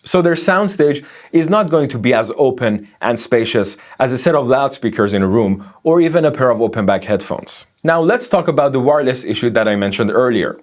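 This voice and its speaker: Male technical reviewer, explanatory scripted read, medium pitch with very varied intonation. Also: expressive, fairly quick, few pauses. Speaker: audio reviewer.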